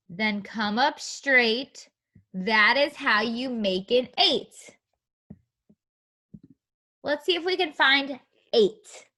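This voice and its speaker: A woman leads a read-aloud lesson for small children. Her voice is fairly high and very bright, and she takes her time with each short phrase. She is encouraging and teacherly, with a sing-song way of guiding them. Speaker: preschool teacher reading a story aloud.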